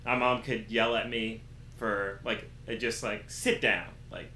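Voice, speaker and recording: Bright-voiced man talking candidly in echoey room. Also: on the low side, unhurried. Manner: animated storytelling with acted-out quotes and slang. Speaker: interview guest. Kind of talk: casual candid conversation. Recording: roomy echo with faint background noise.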